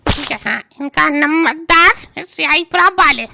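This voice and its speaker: A man voicing an easygoing young village nephew in a cartoon comedy, placating and casual, with a pitched-up rustic voice. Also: animated, measured pace, vocal fry, background noise, exaggerated voice. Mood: agreeable. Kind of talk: comic cartoon dialogue.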